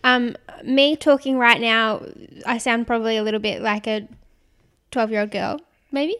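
A woman's voice: fairly high pitch, fairly even intonation, moderate pace, a bit bright, smooth. A woman with a young-sounding, girlish voice, co-hosting a casual chat podcast, giggly and chatty. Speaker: podcast co-host.

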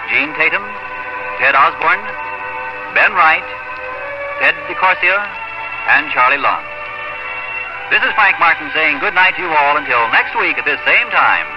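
A man announcing a 1950s radio show and reading its sponsor messages in a scripted style. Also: on the high side. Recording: muffled old radio recording, music behind.

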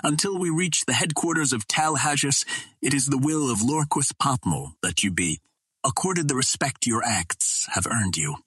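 A man voicing a stern green Martian warrior chieftain in an adventure storybook, formal and measured, with a husky voice. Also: medium pitch, expressive, fairly bright tone, dry recording, voice pushed up. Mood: stern, solemn.

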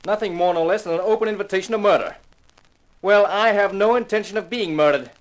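An actor playing a curt, unyielding, self-interested heir in a 1950s radio mystery, with a clipped, commanding manner. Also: male voice, high-pitched, quick pace, lightly acted, rough recording. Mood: cold, resolute.

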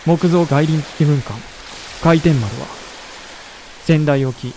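An actor playing a composed samurai official who narrates a war chronicle in a scripted period audio drama. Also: male voice, on the high side, mellow, slight background noise, low-quality recording. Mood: solemn, factual. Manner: measured, solemn storytelling.

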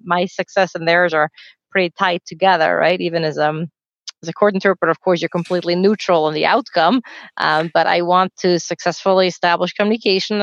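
A woman's voice: on the low side, lo-fi sound. A talkative, self-assured woman speaking emphatically as a guest in a conversational panel discussion. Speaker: court and conference interpreter, guest.

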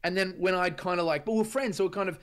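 Easygoing young guy, rapid chatty delivery. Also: sits high, a bit bright. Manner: fast, easygoing, restarts. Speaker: guest musician. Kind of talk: casual radio interview.

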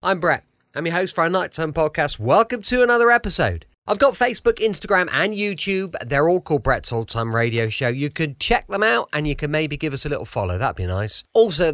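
English male podcast host, higher-pitched, lively swings, effusive chat, dry close sound. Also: no room sound. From United Kingdom. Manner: rambling, effusive. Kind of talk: chatty show introduction.

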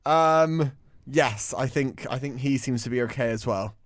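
A man trading casual radio banter as a sidekick, answering in a deadpan, understated way. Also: mid-range voice, measured pace, no echo, studio quality.

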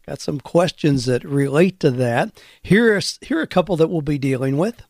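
A man with a slightly higher voice hosts a career radio show, earnest and encouraging. He talks conversationally on a close mic with a dry, unechoing sound. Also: expressive, moderate pace, a little mellow, no echo. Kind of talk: podcast radio monologue.

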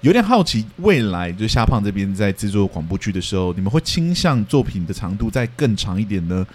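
A man's voice: on the low side, very animated, a little fast, slightly rough, soft background music. A man with a Taiwanese accent, co-hosting a podcast in casual discussion. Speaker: podcast co-host.

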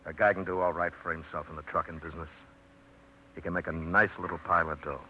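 A man's voice: low voice, steady tone, fast, a little hiss or hum, lightly acted. An actor playing a confident young trucker courting his girl, playful and coaxing, in 1950s radio drama style. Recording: muffled 1950s radio broadcast.

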